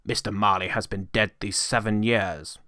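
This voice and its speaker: A man voicing a gruff, miserly old businessman with a curt, scornful, growling delivery in a storybook reading. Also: lowish voice, fairly even intonation, normal speed, sharp tone, dry recording, studio quality. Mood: cold sarcasm. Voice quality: growling, muttering. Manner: curt, cold, dismissive.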